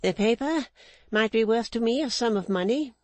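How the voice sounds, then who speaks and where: A man's voice: very high-pitched, expressive, dry recording, voice pushed up. A man voicing a stern, resolute older woman who speaks firmly and defiantly. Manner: firm, measured, defiant.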